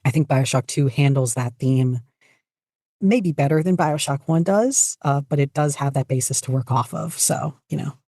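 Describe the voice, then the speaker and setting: A woman's voice: very deep voice, moderate pace, mellow, no echo, studio quality. A woman chatting casually as a podcast guest, rambling along with self-deprecating humor. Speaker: podcast guest, fanfiction writer. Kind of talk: casual chat.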